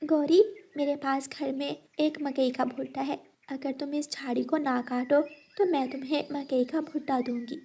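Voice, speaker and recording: A woman's voice: high voice, level tone, unhurried, few pauses, dark tone. A woman voicing a kind, protective mother bird in a cartoon, pleading and reasoning. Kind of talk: Hindi moral cartoon character acting.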